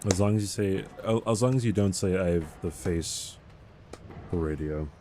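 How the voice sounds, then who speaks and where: A man with a very deep, mellow voice chats on a live game stream, joking and rambling with plenty of fillers. His tone is calm and smooth like a radio voice, with a faint hiss behind him. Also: moderate pace. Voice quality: low calm radio-type voice. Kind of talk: casual live-stream chatter.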